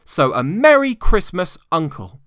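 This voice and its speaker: A man voicing a cheerful, warm-hearted younger nephew, pleading earnestly in a storybook reading. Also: sits high, sing-song, measured pace, dry recording, clean studio sound, voice pushed up, heavily acted. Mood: heartfelt cheer. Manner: pleading, exclamatory.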